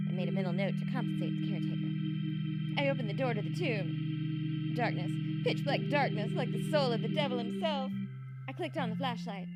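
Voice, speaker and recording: Actress as earnest 1940s mystery wife, higher register, melodramatic storytelling over prominent music. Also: female voice, lightly acted. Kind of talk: 1940s radio acting with first-person narration.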